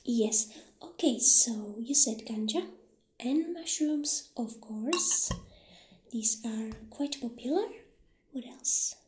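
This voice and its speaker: A woman speaking in a gentle, hushed ASMR roleplay style, partly whispering, as an obliging witch shopkeeper addressing a customer. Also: on the high side, expressive, slow, a little hiss or hum. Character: soft-spoken witch shopkeeper, calm and obliging.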